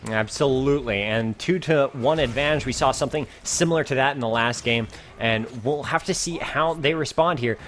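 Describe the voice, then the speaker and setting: A man casting a live video game match, energetic and climbing in pitch and volume as the fights heat up. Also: normal speed, a little hiss or hum. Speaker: esports caster. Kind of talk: play-by-play game commentary.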